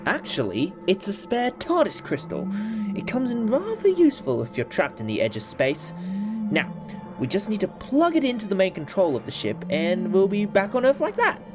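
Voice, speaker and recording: A man acting a brisk, upbeat time-travelling alien adventurer in a fan audio drama, playful with hesitant asides. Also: high-pitched, wildly swooping pitch. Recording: loud music behind.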